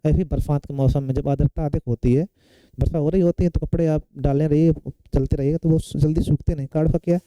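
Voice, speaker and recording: A man's voice: on the high side, steady tone, fast, dark tone, smooth, no room sound, low-quality recording. A man giving an exam-prep science lecture, explaining step by step and often checking in with short tag questions. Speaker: science teacher for competitive exam students. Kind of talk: classroom lecture working through exam questions.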